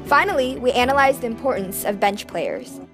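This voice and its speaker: A woman's voice: a little high, animated, moderate pace, music in the background. A teenage girl co-anchoring a student news show, reading out the segment rundown. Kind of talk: scripted news presenting.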